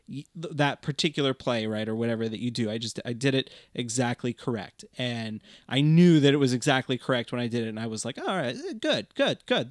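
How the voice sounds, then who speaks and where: A woman's voice: a bass voice, no room sound. Wry thirtysomething woman, dry close sound. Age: 30s. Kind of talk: casual conversational chat.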